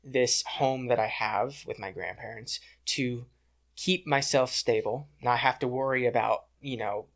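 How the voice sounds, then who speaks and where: A young adult man speaks as a guest on a casual interview podcast. He is talkative and earnest, with a medium-pitched voice. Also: a little slow, creaky.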